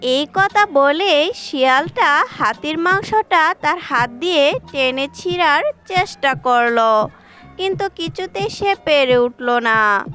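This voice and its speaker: A woman with a high-pitched voice narrates a children's tale. She reads slowly from a script, with faint music behind her. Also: expressive, bright, forceful tone. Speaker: storyteller.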